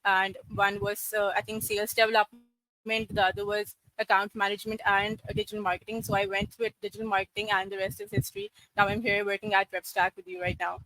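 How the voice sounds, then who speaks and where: A woman in her twenties from Karachi, a podcast guest telling her career story in a steady, articulate, matter-of-fact way. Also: medium pitch, fast, no echo, rough recording. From Karachi, Pakistan. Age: 20s. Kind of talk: podcast interview.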